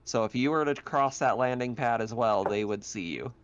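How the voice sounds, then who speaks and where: A man's voice: medium pitch, moderate pace, smooth, no echo, dull audio. Man, game master, clean resonant voice, conversational, explanatory, improvised tabletop narration.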